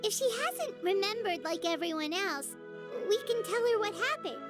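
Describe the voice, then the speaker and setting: A tiny girlish fairy sidekick from an anime-style game. The voice is extremely high and childlike, cheerful and very animated, with music clearly playing behind it. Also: moderate pace. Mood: thoughtful.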